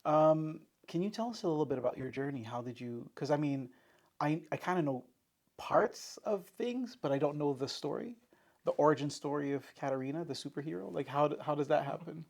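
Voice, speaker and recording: A woman interviewing a guest in a playful, teasing way, full of quick reactions. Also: low-pitched, vocal fry, a little mellow. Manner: playful, teasing, eager backchannels. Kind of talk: casual conversational interview.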